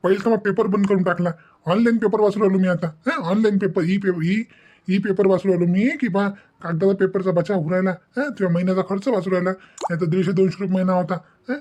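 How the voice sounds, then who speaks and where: A man's voice: sits high, steady tone, quick pace, darkish tone. A man voicing a grumbling old husband in a family cartoon, aggrieved and repetitive, with a Varhadi Marathi accent. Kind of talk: cartoon family comedy acting.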